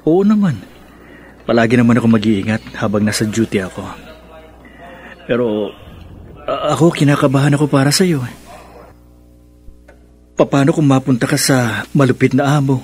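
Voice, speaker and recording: An actor playing a devoted, worried young soldier in love, earnest and hesitant in a radio drama. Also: male voice, medium pitch, animated, moderate pace, pauses often, darkish tone, natural voice. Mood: tender, worried. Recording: music under the voice. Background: music.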